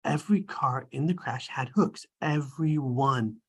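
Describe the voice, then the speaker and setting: An actor playing an earnest, thoughtful, preoccupied boy at a screenplay table read, hesitant and husky. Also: mid-range voice, measured pace.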